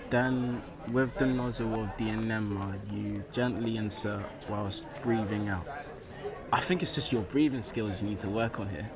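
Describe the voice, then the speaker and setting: An actor playing a bossy but supportive teenage friend in a naturalistic radio play, speaking in a casual, blunt, teasing way. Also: male voice, on the low side, natural voice.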